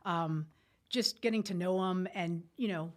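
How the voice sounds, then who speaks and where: A woman's voice: on the low side, measured pace. A woman telling a story informally as a podcast guest, candid and colloquial, with military jargon.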